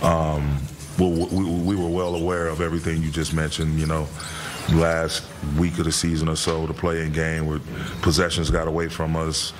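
A middle-aged man, a head coach, answering reporters' questions after a game in a measured way, with many fillers and repeated words. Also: rumbling low, monotone, background noise. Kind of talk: postgame press conference answers. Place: press room in front of a sponsor backdrop.

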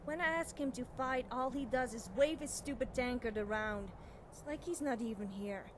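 A woman's voice: on the high side, darkish tone, slight background noise, natural voice. A young woman playing an eager, nervous, cheerfully amoral witch student in video game dialogue, chatty and girlish, often hesitating and backtracking. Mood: confiding, anxious.

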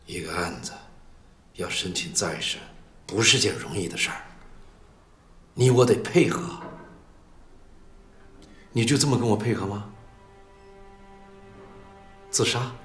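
A man's voice: lowish voice, measured pace, pauses often, fairly bright tone, soft background music. A middle-aged man acting in a film drama as an earnest, firm prosecutor who admonishes and counsels. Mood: quiet reproach.

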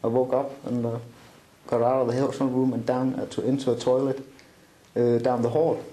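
A man's voice: mid-range voice, flat delivery, moderate pace, dark tone, roomy sound. A middle-aged man with a Danish accent recounting a personal story in an interview, with many fillers and restarts. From Denmark. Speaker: interview guest. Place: small room indoors, seated at a table.

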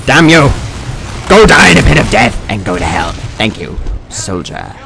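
A man with a fairly low voice gives loose, self-deprecating commentary on a game he is playing. His pitch jumps around as he rambles and repeats himself, with game music and sound clearly behind him. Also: big pitch swings, normal speed, bright, forceful tone. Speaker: gaming video creator. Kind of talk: casual improvised gameplay commentary. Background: video game sounds.

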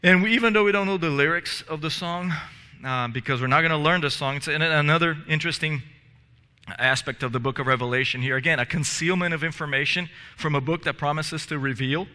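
A man in his thirties preaches in a church sanctuary, his voice strikingly bright and a little high. He talks earnestly, stumbles into restarts and repeated words, and a long hall reverb trails behind him on a headset mic. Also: fairly quick. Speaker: pastor. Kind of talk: sermon. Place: church sanctuary in front of stage steps.

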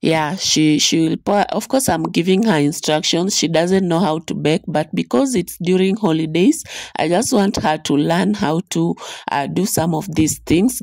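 Woman with a hoarse, gravelly cold voice. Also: sits low, darkish tone, no echo, clean studio sound. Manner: instructional, many fillers and restarts. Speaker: baking channel host. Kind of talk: voice-over for a cooking video. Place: voice-over recorded separately, dry room.

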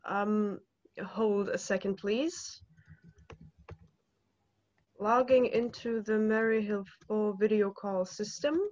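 A man's voice: sits high, level tone, slow, long pauses, darkish tone, dry recording. A dry, dull video-call recording of a young man playing a courteous telephone operator in a drama. He is apologetic, formal and a little hesitant. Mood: polite apologetic. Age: young adult. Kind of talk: stage-style dramatic acting. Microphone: computer video call, wearing headphones.

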